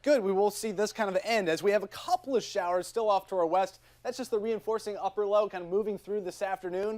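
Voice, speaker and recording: Casting: American TV weatherman, high-pitched male voice, talking through the forecast off the cuff.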